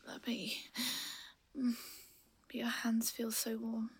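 A woman acts out a playful, bratty young adult girlfriend in an intimate audio drama. Her voice is breathy and fairly high, with a slow, halting delivery that often sinks into whispers. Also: no echo, full character voice. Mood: meek, apologetic. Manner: expressive, halting, swinging from exclamations to murmurs. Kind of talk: intimate roleplay audio acting, addressed to an unseen partner.

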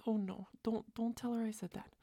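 A young woman doing a soft, close-mic whispered girlfriend roleplay, rambling and doting, a little tipsy. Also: on the high side, fairly even intonation, brisk, no echo. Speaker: ASMR roleplayer.

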